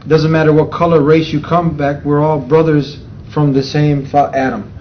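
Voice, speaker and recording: A man's voice: on the high side, level tone, moderate pace. A man hosting an on-location interview, talking conversationally with frequent restarts. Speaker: show host. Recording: slightly dull sound with faint noise.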